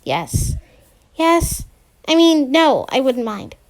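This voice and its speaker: A woman's voice: sits high, sing-song, slow, voice pushed up, heavily acted. A woman voicing a wry teenage girl who teases dryly and gets flustered when caught out. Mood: teasing.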